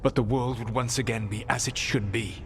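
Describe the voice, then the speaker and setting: An actor playing a solemn, proud ancient elven mage in a video game, speaking in formal, measured, grave diction. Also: male voice, mid-range voice, fairly even intonation, big-room sound, a little hiss or hum, close to an everyday voice. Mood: solemn conviction. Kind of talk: dramatic video game dialogue.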